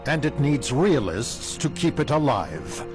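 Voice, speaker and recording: A man's voice: medium pitch, big pitch swings, unhurried, over music. A man playing a proud, embittered mutant leader and harsh realist in a video game cutscene. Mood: bitter, grave.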